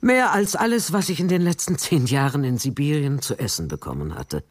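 An actress playing a starved, subdued woman prisoner in a radio drama, speaking in a halting, deliberate way. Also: deep voice, sing-song, breathy edge, full character voice. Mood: overwhelmed. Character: starved, subdued female prisoner back from Siberian camp. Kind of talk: radio drama acting and first-person narration.

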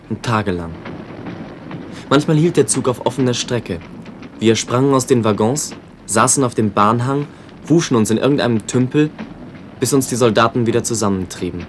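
A man's voice: mid-range voice, slight background noise. A man giving a sober, descriptive reading of literary memories for a radio documentary. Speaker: narrator.

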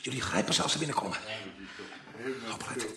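An actor playing a brusque, weary police sergeant who questions and commands drily in a radio play. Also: male voice, mid-range voice, animated, quick pace, vocal fry, crowd noise. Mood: tense, hushed. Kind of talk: Dutch radio drama acting. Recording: echoey, distant, faintly noisy radio-play sound.

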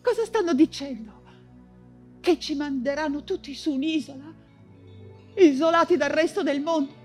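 Actress as an anguished, high-voiced imprisoned woman writer. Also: faint music behind, close to an everyday voice. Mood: horrified. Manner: halting, repetitive, fevered, anguished delivery. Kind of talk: dramatic stage monologue in Italian theatre.

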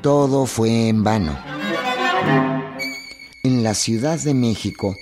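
A man reading scripted historical narration for a Spanish-language radio drama, solemn and deliberate. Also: medium pitch. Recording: string music behind the narration.

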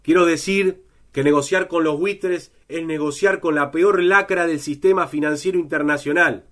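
A man's voice: a little high, fairly even intonation, moderate pace, a bit bright, lightly acted. A man with an Argentine accent playing a pompous, declamatory congressman in a comedy parody of a parliamentary speech. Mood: solemn indignation. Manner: declamatory, solemn, building into slangy rattled exhortation. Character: pompous male congressman giving a speech, indignant then absurdly eager.

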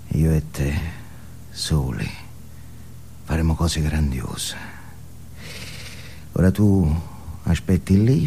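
A man's voice: deep voice, mellow, slight background noise, voice pushed down, exaggerated voice. A man playing a volatile, boastful, foul-mouthed drug-using outlaw in a theatrical stage monologue, badgering his listener. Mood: conspiratorial, quiet. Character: volatile swaggering male drug-using outlaw, manic and menacing.

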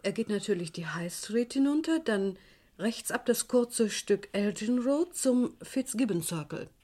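Fussy, medium-pitched office bookkeeper. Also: female voice, animated, measured pace, a little mellow. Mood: helpful, precise. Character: helpful, fussy female bookkeeper. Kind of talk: German radio crime play acting.

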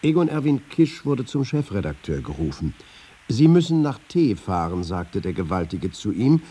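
An actor playing a dry raconteur who acts out a pompous newspaper boss in a radio feature. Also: male voice, on the low side, sing-song, normal speed, dark tone, breathy edge. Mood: dryly comic. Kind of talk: dramatised anecdote reading in a radio feature.

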